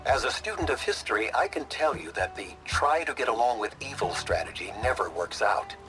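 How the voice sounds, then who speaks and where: An actor playing a self-regarding scholar and DJ in a comic video game, grandiose, wordy and dry. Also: male voice, mid-range voice, animated, a little fast, lightly acted, low-quality recording. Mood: dry, knowing. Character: pompous, self-regarding male scholar and showboating DJ. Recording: music behind the voice.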